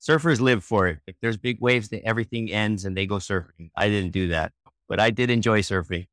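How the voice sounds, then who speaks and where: A man in his forties from Hawaii with a fairly low voice, answering questions in a relaxed, easygoing way. He laughs now and then and restarts his sentences. The recording is dry and close, with no room sound. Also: fairly quick, no echo. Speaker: guest, children's book author and illustrator. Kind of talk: casual interview conversation.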